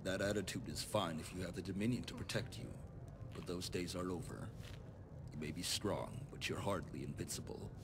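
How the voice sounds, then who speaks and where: Low, haughty nobleman played by a man, noisy rumble. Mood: stern, haughty. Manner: formal, measured, condescending. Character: calculating noble bastard son demanding repayment, cold and composed. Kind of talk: video game mod voice acting.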